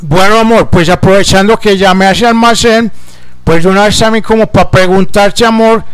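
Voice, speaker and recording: A man's voice: high-pitched, steady tone, moderate pace, a bit bright, clean tone, dry recording. A man playing a folksy, affectionate old Colombian countryman in his late seventies, hesitant and rambling, on a prank phone call. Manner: hesitant, rambling, repeatedly endearing.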